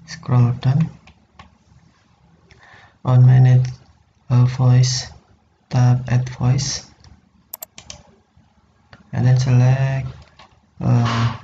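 A man narrates a step-by-step software tutorial in short, halting instructional phrases. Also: medium pitch, monotone, gravelly. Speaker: tutorial presenter. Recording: dull sound, faint background noise.

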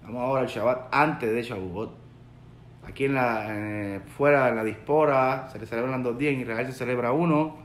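A man's voice: mid-range voice, normal speed, slight background noise. A man in his forties from Puerto Rico with a Puerto Rican Spanish accent, giving an earnest Torah lesson to a webcam in his study, repeating his key points. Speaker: rabbi. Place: home study with bookshelves, indoors. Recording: roomy echo.

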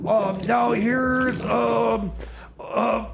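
Comedy radio DJ played by a man: high-pitched, halting rambler who stalls and repeats, loud noise behind. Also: steady tone, gravelly, exaggerated voice. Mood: earnest. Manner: hesitant, stammering, full of stalled repeats. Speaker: radio DJ announcing songs. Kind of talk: improvised comedy radio theater. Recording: heavy background noise.

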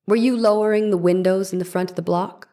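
A woman's voice: medium pitch, fairly even intonation, fairly quick, warm tone, close to an everyday voice. A woman playing an insistent 1890s defense lawyer in a trial reading, questioning methodically and pressing for answers. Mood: probing. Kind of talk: dramatic reading of trial transcript.

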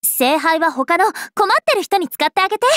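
An actress playing a cheerful, playful young vampire princess in an anime game, speaking in a bubbly, teasing, animated way. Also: female voice, extremely high pitch, brisk, dry recording, studio quality. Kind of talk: anime game character voice lines.

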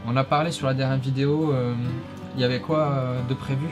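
Young man, energetic fitness YouTuber. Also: mid-range voice, quick pace. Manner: energetic, rambling, many fillers. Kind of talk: casual commentary over workout footage. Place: small home studio with acoustic foam. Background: guitar music.

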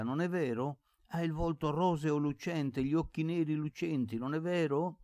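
A man's voice: fairly low pitch, warm tone, no echo. A man voicing a mischievous young servant boy in a storybook, taunting and playful in a sing-song way. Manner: taunting, sing-song, imitates bells and barking.

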